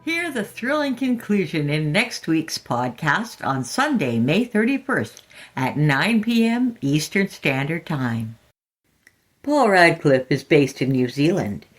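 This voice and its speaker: A woman presents a podcast in a low voice. Her intonation moves widely up and down, giving the scripted announcement a theatrical, deliberate feel. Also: very animated. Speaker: podcast host.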